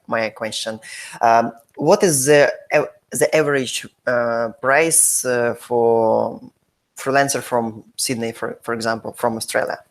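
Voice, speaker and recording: A man with a Russian accent interviewing someone over a video call, asking halting questions in slightly broken English and often rephrasing them. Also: medium pitch, a bit bright. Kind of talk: casual Skype interview.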